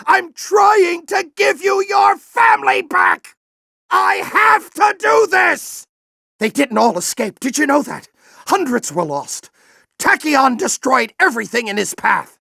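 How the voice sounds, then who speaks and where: A man playing a grizzled older alien warrior general in a video game, with a gruff, commanding, theatrical delivery and a fanatical intensity. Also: extremely high pitch, sing-song, a little slow, bright, forceful tone, no room sound, raised voice, clean studio sound, exaggerated voice. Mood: desperate, furious, then bitter, accusing. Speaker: military commander and mentor. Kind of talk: video game voice acting, combat barks and dramatic speeches.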